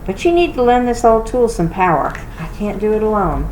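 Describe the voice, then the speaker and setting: A woman voicing a giant, strong folk-hero man with a deliberate manner, in a storybook reading. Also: medium pitch, big pitch swings, darkish tone, pitched above the performer's own voice, close to an everyday voice. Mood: steady. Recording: roomy echo with clear noise.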